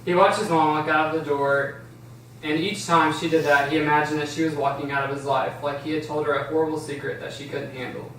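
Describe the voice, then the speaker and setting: A man's voice: fairly high pitch, fairly bright tone. A man reading scripted stage directions as the narrator of an amateur table read. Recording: roomy, distant, faint noise.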